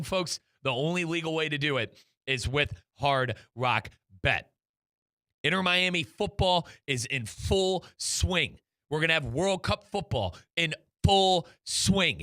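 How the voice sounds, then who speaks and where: Bright-voiced American man hosting sports talk. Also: a little high, no room sound, clean studio sound. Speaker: sports show host. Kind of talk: conversational sports talk with live sponsor reads.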